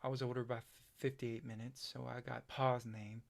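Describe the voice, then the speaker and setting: A man's voice: medium pitch, little pitch movement, vocal fry, natural voice. A man acting a hesitant farm boy. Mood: wistful. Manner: halting, hesitant, plain-spoken. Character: haunted, self-doubting rural farm boy confiding in his friend. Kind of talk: stage play acting.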